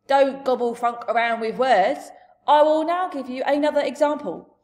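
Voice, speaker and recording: A woman's voice: sits high, normal speed, darkish tone, pitched above the performer's own voice, natural voice. A woman voicing a big, friendly, blustering male giant from a storybook, speaking emphatically in jumbled grammar and made-up words. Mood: earnest. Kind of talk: storybook character voice.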